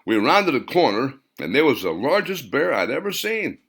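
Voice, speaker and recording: A man's voice: mid-range voice, very animated, moderate pace, a bit bright, voice pushed up. A man voicing an earnest male character who tells nostalgic, dramatic boyhood memories in an audiobook. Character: earnest male storyteller recalling boyhood summers with Grandpa.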